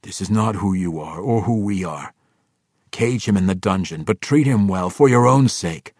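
A man's voice: deep voice, lively intonation, a little mellow, husky, no echo, studio quality, voice pushed up. A man voicing Drizzt, a grave drow ranger. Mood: resolute. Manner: measured, firm. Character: principled male drow ranger, grave and resolute.

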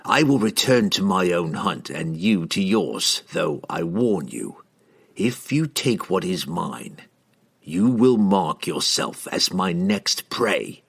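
A man voicing a cold, ruthless male assassin in a storybook reading, speaking slowly and evenly. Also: low-pitched, gravelly, husky, exaggerated voice. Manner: slow, even, menacing.

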